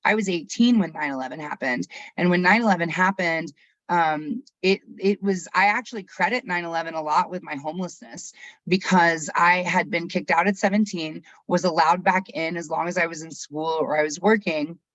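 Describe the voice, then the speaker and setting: A person of about forty from Texas, whose first language was Arabic, leading a workshop chat on a video call in an open, chatty way with quick asides. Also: medium pitch, a bit bright, no room sound. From Texas, USA. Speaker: workshop facilitator. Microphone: computer mic on a video call. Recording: dull, dry video call audio.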